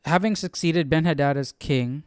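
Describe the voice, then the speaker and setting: A man's voice: a little high, normal speed, clean tone, dry recording, studio quality. A man hosting a casual live Bible study, musing and questioning as he thinks aloud. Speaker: host.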